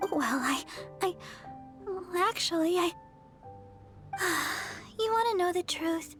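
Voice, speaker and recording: Super high sweet shy church girl from a game, caring and earnest, music underneath. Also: fairly bright tone. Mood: bashful. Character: sweet, bashful young deaconess, caring and earnest. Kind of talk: scripted video game character dialogue. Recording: music behind the voice.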